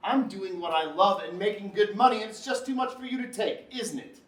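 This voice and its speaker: A man's voice: high voice, brisk, close to an everyday voice. A man acting a needling, self-absorbed husband in a naturalistic relationship drama, with emphatic delivery. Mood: heated. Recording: echoey, distant mic, background noise.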